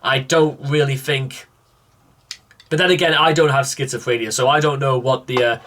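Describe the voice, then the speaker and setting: Guy doing off the cuff reaction commentary, kinda exasperated, just thinking out loud, average pitch. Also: steady tone, moderate pace, slight background noise.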